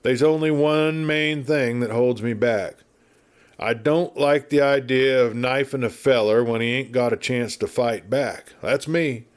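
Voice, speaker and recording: A man's voice: mid-range voice, fairly even intonation, unhurried, smooth, natural voice. A man voicing a garrulous, good-natured but irascible frontier marshal in a storybook, with an American Western drawl and folksy, blustery chatter. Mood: jovial, chatty. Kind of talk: storybook character voice.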